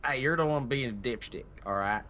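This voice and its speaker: A man's voice: mid-range voice, brisk, a crowd in the background, lightly acted. A man playing a cagey, defensive country mechanic in comic game roleplay, drawling his denials.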